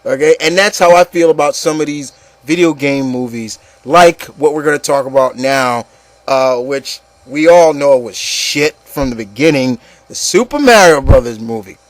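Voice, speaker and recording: A man's voice: mid-range voice, animated, moderate pace, no echo. A man hosting a casual opinion show, speaking bluntly and with exasperation, often stammering and repeating himself and swearing. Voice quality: stammering, repeats words and phrases. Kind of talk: casual opinion rant with a co-host.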